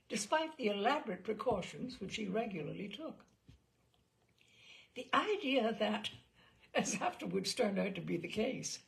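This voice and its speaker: A woman reading a memoir aloud as a narrator, in a wry and expressive storytelling manner. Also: a little high, vocal fry, poor audio. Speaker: reader. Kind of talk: audiobook narration.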